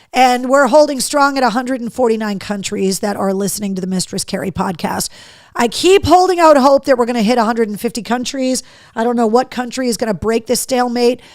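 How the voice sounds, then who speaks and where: A middle-aged woman hosting a live show, chatting casually and candidly with viewers in a slightly hoarse voice, with a cheeky streak. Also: on the high side, no echo, clean studio sound. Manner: candid, cheeky, mildly sweary. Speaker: podcast and livestream host. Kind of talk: casual live chat to viewers, reading out comments.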